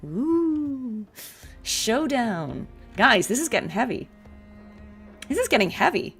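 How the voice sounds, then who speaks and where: A woman's voice: on the high side, sing-song, slow pace, fairly bright tone, husky, soft background music. A woman streamer chatting casually over gameplay, with informal, playful asides. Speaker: streamer and voice actress. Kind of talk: casual livestream chat with gameplay commentary.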